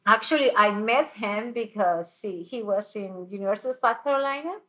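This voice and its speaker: A woman's voice: medium pitch, lively intonation, brisk, fairly bright tone, poor audio. Laughing woman guest. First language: Spanish. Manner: laughs often, talks with restarts. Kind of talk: casual interview chat.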